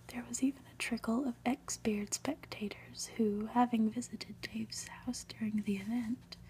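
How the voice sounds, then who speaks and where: Slow, whispering woman reading ASMR. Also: fairly high pitch, low-quality recording. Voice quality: soft, breathy whisper. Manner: gentle, unhurried, deliberate delivery. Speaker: ASMR reader.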